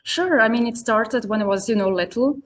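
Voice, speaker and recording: A woman originally from Serbia talks through her story in relaxed, conversational English. She hesitates often, leaning on "you know" and restarting sentences as she goes. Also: on the high side, moderate pace. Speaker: podcast guest, community founder. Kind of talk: casual interview answers.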